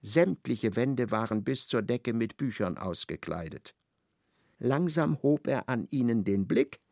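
Male radio-play narrator, measured and ironic, gravelly voice, dry studio sound. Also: medium pitch, animated, darkish tone, no room sound.

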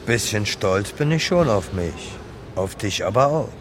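A man's voice: fairly low pitch, animated, a little slow. An actor playing a middle-aged homeless man, plain-spoken, modest and composed. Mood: unruffled. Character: unruffled, modest homeless man fronting a donation campaign. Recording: outdoor street noise, traffic.

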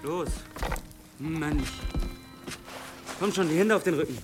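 A sullen young villager in a radio play, his mother's grumbling son. He talks in a whiny, suspicious way. Music is clearly heard behind him. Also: on the high side, slow pace. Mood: grudging, impatient. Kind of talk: German radio play acting.

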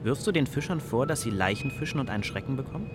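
A man's voice: mid-range voice, lively intonation, quick pace, natural voice. An actor in a radio play as a sceptical young man who speaks with flippant, questioning irony. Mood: incredulous. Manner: questioning, ironic. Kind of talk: German radio play dialogue. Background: music.

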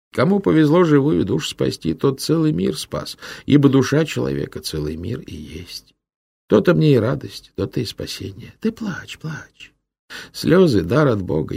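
A gentle old hermit monk, soft-spoken and talkative, put on by a male audiobook narrator. His voice sits a touch higher than the narrator's own and rises and falls warmly. It stays gravelly and slightly husky, recorded dry and close. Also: no echo, exaggerated voice. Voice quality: soft, kindly, soothing.

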